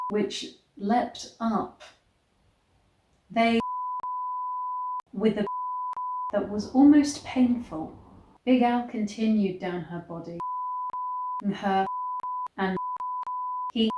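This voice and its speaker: Slow-reading woman in an echoey room. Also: a little high, background noise. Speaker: host reading a story aloud. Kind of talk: dramatic storybook reading with disgusted asides. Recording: echoey, roomy sound.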